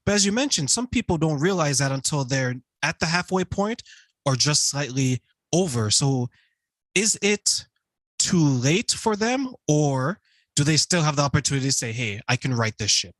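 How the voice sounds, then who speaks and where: A man hosting a live stream interview, relaxed, laughing easily and asking long questions. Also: fairly high pitch, moderate pace, dry recording. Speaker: show host. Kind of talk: conversational interview.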